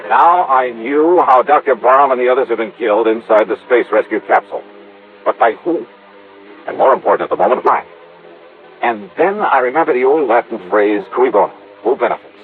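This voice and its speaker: Male actor as shrewd investigator, medium pitch, lively inflection, hard-boiled, probing, vintage radio drama, tinny narrow recording. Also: brisk, soft background music, lightly acted. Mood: tense narration. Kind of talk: old-time radio detective acting.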